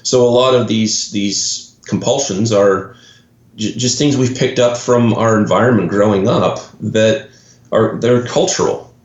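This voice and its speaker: A man's voice: fairly low pitch, a little slow. A man from Canada with a Canadian accent, a guest in an interview, who explains ideas thoughtfully with examples and often restarts his phrases. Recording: roomy, dull lo-fi audio.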